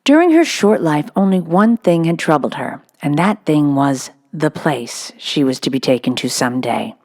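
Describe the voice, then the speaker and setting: A woman with a low voice narrates a children's book. Her delivery is scripted storytelling, read aloud for young listeners. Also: expressive, normal speed, darkish tone.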